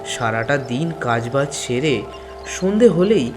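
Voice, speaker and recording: A man's voice: mid-range voice, animated, takes it slowly. A man reading a scripted village story as its narrator, in storytelling style. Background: background music.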